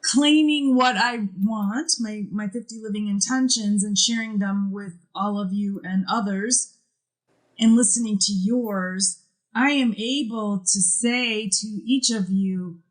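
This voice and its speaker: Middle aged woman musing slowly on a podcast, keeps repeating her questions. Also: mid-range voice, low-quality recording. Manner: reflective, repeats questions for emphasis. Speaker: podcast guest. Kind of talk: casual group chat.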